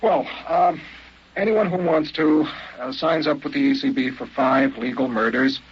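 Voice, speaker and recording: A man's voice: a little high, moderate pace, breathy edge. An actor playing a polite, brooding, experienced killer in an old-time radio drama, hesitant and musing as he talks. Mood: hesitant, explaining. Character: seasoned licensed killer, polite, hesitant, quietly brooding man. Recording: muffled, noisy old radio recording.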